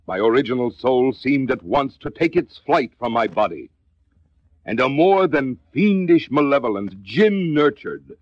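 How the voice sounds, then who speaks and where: An actor playing a brooding male narrator confessing a Gothic horror tale, in a theatrical, deliberate 1950s dramatic reading with formal diction, on a vintage record. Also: medium pitch, dry recording. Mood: feverish, horrified. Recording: dull vintage 1950s spoken-word record.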